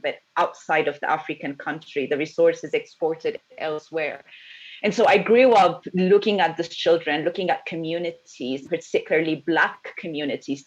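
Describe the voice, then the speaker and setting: A woman from Ethiopia speaks thoughtfully and earnestly as a panelist, searching for words now and then. Her voice sits on the low side. The audio is dull and lo-fi. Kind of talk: online panel discussion.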